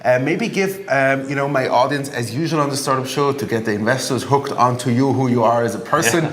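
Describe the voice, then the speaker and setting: A man's voice: mid-range voice, fairly quick, fairly bright tone. A man hosting an interview show, introducing his guest with enthusiasm and asking long, filler-heavy questions. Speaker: show host. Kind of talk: interview host, scripted intro. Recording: echoey room.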